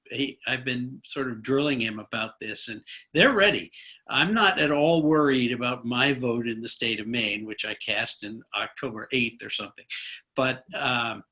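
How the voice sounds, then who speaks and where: A man's voice: medium pitch, moderate pace, bright, forceful tone. A man hosting a conversational political interview, musing informally and in a rambling way. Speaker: interviewer, host.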